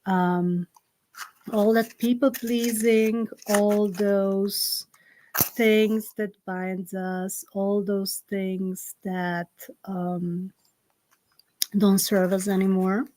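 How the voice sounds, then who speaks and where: A woman with a Slovak accent, a native Slovak speaker, hosting a live-streamed ritual from home and giving slow, gentle instructions full of fillers. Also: mid-range voice, level tone, a little hiss or hum, low-quality recording. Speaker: spiritual ritual host. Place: home in the south of France. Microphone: computer on a live stream.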